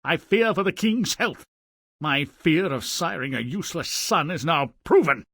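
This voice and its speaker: A scornful, fearful father in a fairy-tale game. His voice is high and sharp-edged, and he declaims his contempt pompously. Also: animated, moderate pace, no echo. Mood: disdainful. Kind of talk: theatrical video game fairy-tale acting.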